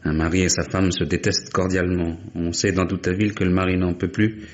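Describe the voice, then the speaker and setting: An actor playing a resigned, bewildered young murder suspect, halting and subdued, in 1950s French radio drama style. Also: male voice, low voice, little pitch movement, natural voice. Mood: bitterly resigned. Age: late 20s. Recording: dull, noisy vintage radio recording.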